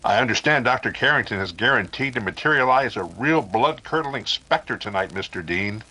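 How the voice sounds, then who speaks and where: A courteous 1930s radio announcer, acted by a man in old-time drama style. His middle-pitched voice is bright and forward, with a husky edge. Delivery is measured and formal. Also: animated, poor audio. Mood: courteous, brisk.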